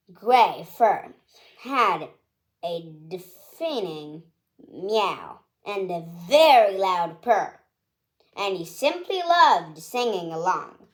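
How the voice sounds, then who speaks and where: A woman reading a children's picture book aloud with expressive storytelling, ending with a request to subscribe. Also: mid-range voice.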